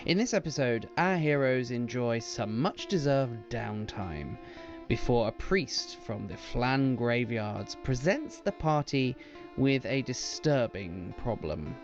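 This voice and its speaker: A man's voice: medium pitch, expressive, slow. A man narrating a scripted introduction to an audio show as a trailer narrator. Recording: slightly dull audio under plucked-string music. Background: plucked guitar music.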